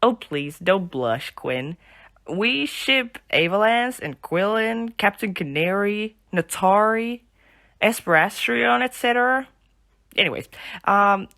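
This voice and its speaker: A woman's voice: mid-range voice, fairly bright tone, no room sound, clean studio sound. A woman playing a chatty, playful fangirl in an audio drama, polite and teasing. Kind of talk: amateur audio drama acting.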